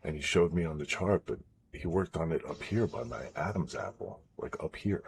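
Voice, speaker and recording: Very deep-voiced man with a sore throat. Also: moderate pace, a little hiss or hum. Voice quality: baritone. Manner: blunt and profane, drops to a whisper at times. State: sore throat after vocal cord surgery, voice strained. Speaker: streamer. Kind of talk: casual live-stream chat.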